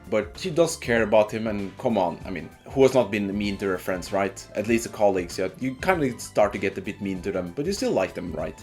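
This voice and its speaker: Man, lively swinging intonation, casual review narration, informal and sweary, faint music behind. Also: fairly low pitch, a little fast, hardly any pauses. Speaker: video game reviewer. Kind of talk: casual scripted video game review narration.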